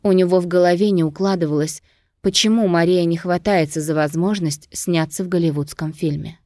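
A woman narrates literary fiction from a script. Her voice sits a little low. The recording is dry, with very little room sound. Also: fairly even intonation, a little fast, dark tone, no room sound. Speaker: audiobook narrator.

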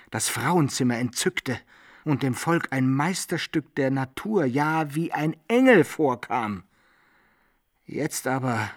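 An actor playing a rueful, boastful adventurer in a radio drama monologue, declamatory and husky, in archaic wording. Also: male voice, mid-range voice, no echo, studio quality. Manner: declamatory, theatrical. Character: rueful boastful adventurer telling his own misfortunes.

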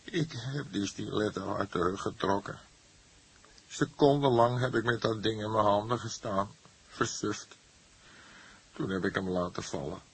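A man's voice: fairly low pitch, a little slow, long pauses, breathy edge, voice pushed up. A man voicing an agitated, defiant young murder suspect in an audiobook, speaking emphatically and with emotion. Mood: distraught. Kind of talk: acted audiobook dialogue.

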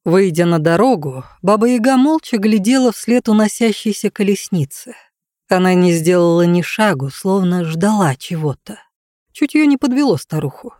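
Female audiobook narrator for fantasy tales: medium pitch, expressive scripted storytelling, no room echo. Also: moderate pace, studio quality.

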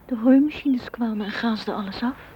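An actress playing a lone, forlorn blade of grass in a radio drama. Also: female voice, on the high side, normal speed, lightly acted. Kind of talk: literary radio drama acting. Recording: dull recording, faint outdoor noise.

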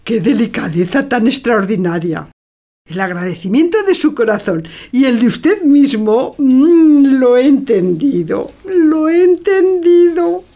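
A woman's voice: high voice, sing-song, a little slow, warm tone, exaggerated voice. An actress playing an effusive, scheming middle-aged mother in a theatrical farce, affected and full of exclamations. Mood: gushing, affected. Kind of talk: theatrical farce audio drama.

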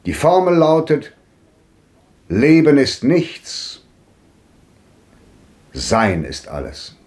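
An actor playing a grandly bitter old man in a 1950s radio drama, declaiming with sweeping swings between loud and hushed. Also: a little high, huge pitch swings, very slow, exaggerated voice. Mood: brooding. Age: older.

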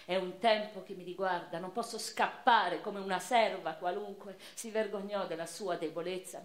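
An actress playing a cultured, impassioned woman revolutionary and newspaper writer in a theatrical monologue, declamatory and literary, with deliberate pauses and emphatic swells. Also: on the high side, close to an everyday voice. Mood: intimate, tender. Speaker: stage and radio actress. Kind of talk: dramatic first-person theatrical monologue. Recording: roomy, reverberant theatrical recording.

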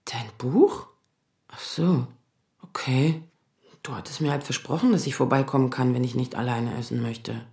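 A woman's voice: rumbling low, moderate pace, warm tone, echoey room. An actress playing an overworked lawyer daughter in her thirties, controlled but exasperated, in an audio drama. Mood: subdued. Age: 30s. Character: overworked 35-year-old lawyer daughter, strained but restrained. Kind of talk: acted German audio drama dialogue.

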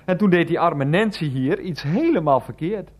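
A man's voice: fairly high pitch, animated, moderate pace, breathy edge. An actor playing a bumbling, evasive husband in a radio play, hesitant and stammering as he talks. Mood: rambling. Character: evasive, bumbling husband cornered by his family.

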